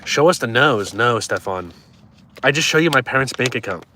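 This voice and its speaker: A man's voice: mid-range voice, expressive, moderate pace, pushed and bright, slight background noise. A man in his twenties chatting casually on a live stream, joking and teasing viewers as he reads their comments. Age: 20s.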